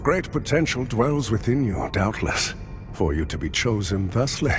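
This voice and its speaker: An actor playing a pious crusader mentor in a fantasy game, speaking in a formal, archaic, grandiose way. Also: male voice, fairly low pitch, sing-song, moderate pace, soft background music. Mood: solemn, reverent. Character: solemn, pious male holy crusader mentor. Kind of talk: dramatic fantasy game acting.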